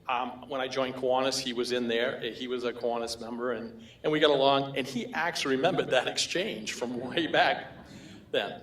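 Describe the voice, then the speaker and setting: A man's voice: medium pitch, a bit bright. An older man with a Maine accent giving a humorous award acceptance speech, telling anecdotes with chuckles. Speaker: award recipient. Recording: roomy hall echo.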